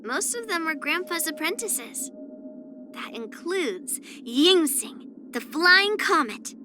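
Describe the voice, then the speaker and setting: A woman's voice: extremely high pitch, very animated, slow pace, a little hiss or hum, full character voice. A woman voicing a spirited, proud young swordswoman in an anime-style video game, speaking in an earnest, blunt, slightly pouty way.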